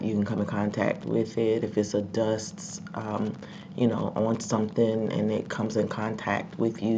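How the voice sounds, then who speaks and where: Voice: medium pitch, vocal fry. A sick, congested vlogger talking casually to viewers, rambling with many ums and repeated words. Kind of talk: casual commentary vlog. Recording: dull lo-fi with faint background noise.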